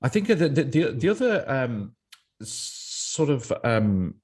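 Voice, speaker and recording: A man's voice: medium pitch, sing-song, slow, a little mellow, husky. A man hosting a podcast, talking reflectively in a casual interview, often repeating words as he thinks. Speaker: podcast host.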